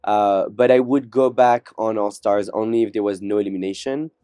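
A man's voice: lowish voice, steady tone, normal speed, mellow, smooth, dry recording, clean studio sound. Man, candid and joking. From France. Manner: candid, joking, acts out quotes. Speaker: guest. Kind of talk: casual interview chat.

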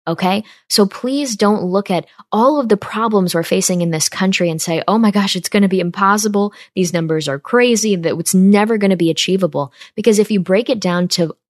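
A woman's voice: medium pitch, fairly quick, hardly any pauses, darkish tone, dry recording, clean studio sound. A woman in her twenties from upstate New York, hosting a podcast and talking earnestly and directly to her listeners. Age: 20s. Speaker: podcast host. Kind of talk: casual monologue.

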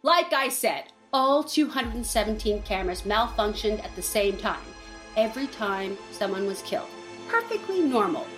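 A woman's voice: mid-range voice, big pitch swings, over music, lightly acted. A woman playing a callous, cynical reality-show producer with a sardonic manner in a campy comedic audio drama. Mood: dismissive, threatening. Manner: sardonic, brisk, shady.

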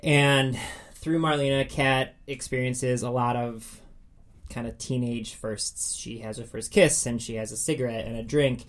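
A man's voice: mid-range voice, fairly even intonation, a little slow. American man in casual, informal book chat. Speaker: podcast co-host discussing upcoming books.

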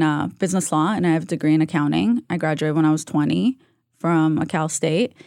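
A woman's voice: fairly low pitch, no echo, clean studio sound. A young adult woman born in Russia and raised in Israel, telling her story as a podcast guest in a candid, chatty way. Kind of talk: casual interview chat.

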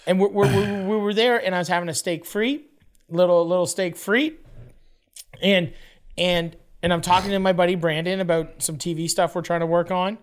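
A man with a high voice, telling a story in casual chat as a podcast co-host, rambling and repeating words as he goes. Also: steady tone, normal speed.

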